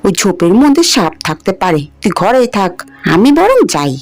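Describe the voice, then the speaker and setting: A woman voicing a poor, kind young village wife in a cartoon fairy tale, in a homely, expressive way. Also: mid-range voice, moderate pace, lightly acted. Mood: concerned.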